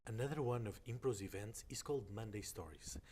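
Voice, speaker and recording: A man's voice: on the low side, brisk, a little mellow, a little hiss or hum. A man, a volunteer, narrating a friendly scripted voice-over about his year. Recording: music underneath.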